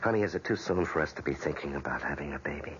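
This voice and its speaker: An actor playing an earnest, gentle young husband who works as a police officer, coaxing and a little halting. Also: male voice, rumbling low, lively intonation. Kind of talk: vintage screen drama acting. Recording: dull, noisy old film soundtrack.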